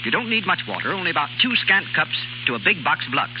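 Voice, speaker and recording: A man's voice: on the high side, big pitch swings, fast talker, music in the background. A man announcing and reading scripted advertising in 1930s radio style. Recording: noisy, muffled 1930s radio broadcast.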